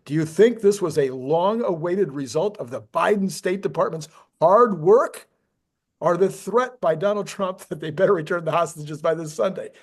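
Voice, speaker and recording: Male interview host, slightly high voice, informal conversational questioning. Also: normal speed.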